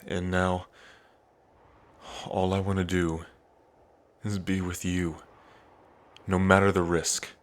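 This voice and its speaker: A man playing an earnest, devoted dragon prince in an intimate ASMR roleplay, pausing between phrases. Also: deep voice, little pitch movement. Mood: tender, lovestruck. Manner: slow, earnest, with long pauses between phrases. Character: lovestruck dragon prince, grieving, tender, devoted.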